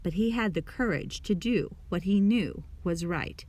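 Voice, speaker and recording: A woman reading a children's story aloud in an expressive storytelling manner, addressing young listeners. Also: fairly low pitch, measured pace, no room sound. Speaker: storybook reader.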